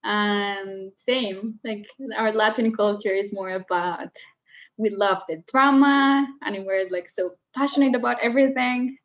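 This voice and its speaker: A woman's voice: mid-range voice, measured pace, highs cut off. A woman from Colombia, speaking as a guest in a casual interview, earnest and full of fillers and restarts.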